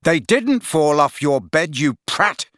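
A man's voice: a little high, sing-song, measured pace, fairly bright tone, no room sound, clean studio sound, voice pushed up. A man voicing a sensible, exasperated teenage boy in an audiobook, matter-of-fact and impatient. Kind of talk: audiobook character voice.

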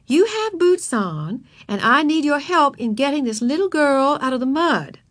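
A woman's voice: sits high, very animated, moderate pace, no room sound, pitched above the performer's own voice. A woman voicing a kindly kindergarten teacher, reassuring and polite but firm, in a children's storybook reading. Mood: polite, urging.

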